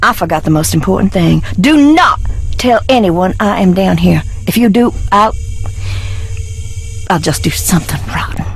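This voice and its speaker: A woman's voice: fairly low pitch, expressive, normal speed, slightly rough, soft background music. An unhinged, theatrical eccentric woman. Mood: manic, gleeful. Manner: dramatic, elongated phrasing with sudden swerves. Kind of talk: video game voice acting.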